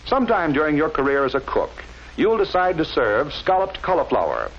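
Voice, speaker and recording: A dull, slightly noisy vintage film soundtrack carries a man narrating in 1940s training film style. His voice sits a little high and he explains in a measured, didactic manner, enunciating each term with care. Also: huge pitch swings, fairly bright tone.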